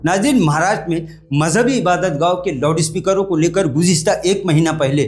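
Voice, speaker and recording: A man's voice: high voice, a little fast. A man from India presents a news report as anchor, formally addressing the viewers.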